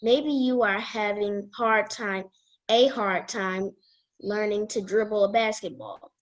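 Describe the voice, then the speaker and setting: A woman guest reader reading a children's book aloud in a deliberate way. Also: mid-range voice, highs cut off.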